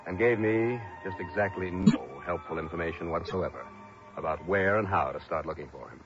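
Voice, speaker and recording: An actor as a stubborn, world-weary male investigator from an old radio detective show. His voice sits fairly low, with a wry, clipped delivery. The sound is muffled and vintage. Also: fairly quick, over music, natural voice. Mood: wry, narrating. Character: dogged, wry male insurance investigator narrating his case. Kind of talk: 1950s-60s radio detective drama acting.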